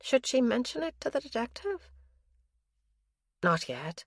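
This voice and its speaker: A woman narrating an audiobook thriller in a steady, measured way. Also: a little high, husky, no room sound, clean studio sound. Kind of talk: scripted narration.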